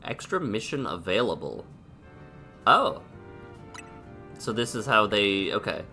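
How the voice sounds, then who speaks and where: A sniffly man with a sore throat talks slowly, with plenty of pauses and hums as he thinks aloud. His voice sits a little low but sounds bright and forceful. Faint game music plays behind him. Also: big pitch swings. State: stuffy and sniffly. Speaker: streamer. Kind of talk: casual gameplay commentary.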